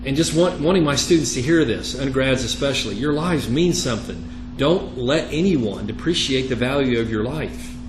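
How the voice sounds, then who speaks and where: A man's voice: medium pitch, animated, moderate pace, few pauses. A man who is a native Italian speaker, teaching a religion class in an earnest, emphatic way and often putting questions to his students. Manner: earnest, emphatic, quizzing listeners with rhetorical questions. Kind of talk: interactive religious lecture. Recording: roomy, echoey classroom with clear background noise.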